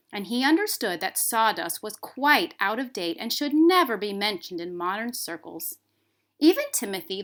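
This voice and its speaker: Woman, medium pitch, children's storybook reader, expressive, careful phrasing, librarian. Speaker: school librarian reading aloud. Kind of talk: children's storybook read-aloud.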